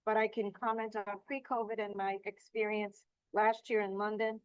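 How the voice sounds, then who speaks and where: A woman's voice: mid-range voice, little pitch movement, moderate pace, clear, resonant tone, no echo. A woman who is an association president and keynote speaker, talking earnestly and emphatically in a testimonial interview. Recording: dry, slightly dull recording.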